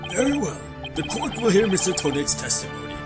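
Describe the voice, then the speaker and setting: A woman's voice: medium pitch, very animated, brisk, lightly acted. A woman voicing a genial, formal, easily bemused old male judge in a video game fandub. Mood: stately. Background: loud game music.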